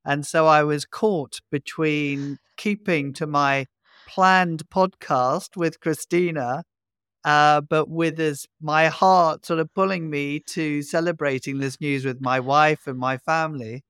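An older British man hosting a podcast, speaking in a halting, friendly way with many repeated words and chuckles. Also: a little high, fairly even intonation, fairly bright tone, smooth, dry recording, studio quality. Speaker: podcast host. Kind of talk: conversational interview.

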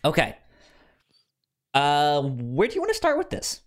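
A man hosting a podcast in casual chat, talking haltingly with repeated false starts. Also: fairly high pitch, wildly swooping pitch. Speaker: podcast host.

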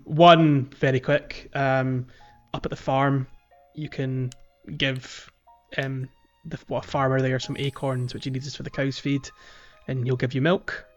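Chatty man on dull lo-fi audio. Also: mid-range voice. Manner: chatty, many ums and restarts, laughs easily. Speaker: podcast co-host. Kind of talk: casual game-talk podcast.

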